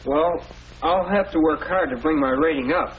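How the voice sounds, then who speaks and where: A man's voice: on the high side, moderate pace, slightly rough. A young male actor with an American accent playing an earnest, polite teenage schoolboy in a 1940s educational film. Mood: resolute, polite. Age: teenager or young adult. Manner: earnest, deferential. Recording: noisy old 1940s film soundtrack.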